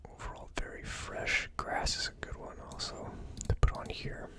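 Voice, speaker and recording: A person whispering in an ASMR style as host of a tasting video, with a tentative, musing delivery. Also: normal speed. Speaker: host of a whiskey tasting series.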